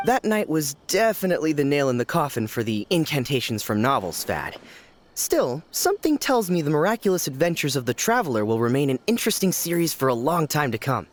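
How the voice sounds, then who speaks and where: A man voicing a chatty townsperson in a video game, speaking in a relaxed, musing way. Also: on the high side, fairly quick.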